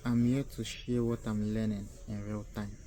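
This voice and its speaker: A young man from Nigeria with a Nigerian accent giving earnest advice in a casual vlog, often repeating himself and pausing to search for words. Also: on the low side, little pitch movement. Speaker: vlogger. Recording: faint ambient noise.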